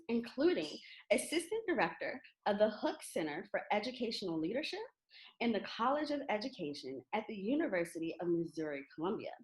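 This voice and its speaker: Lively, expressive woman hosting. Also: a little high, poor audio. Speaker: host of a children's reading event. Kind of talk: scripted introduction and interview.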